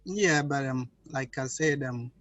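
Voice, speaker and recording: A man's voice: mid-range voice, unhurried, fairly bright tone, no echo. A young man from Nigeria, a student introducing himself on a video call, hesitant and apologetic with many fillers. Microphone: computer mic on video call.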